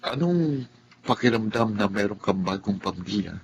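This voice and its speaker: A man playing a gentle, inquisitive young older brother in a Filipino radio drama, with a Filipino accent and a questioning manner. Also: lowish voice, lively intonation, normal speed, dull audio, slight background noise. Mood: gently probing.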